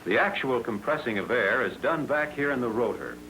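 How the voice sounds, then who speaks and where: A man reading scripted 1940s instructional narration in a measured way, addressing the trainee directly. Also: mid-range voice, expressive, pushed and bright, slight background noise, rough recording. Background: film score music.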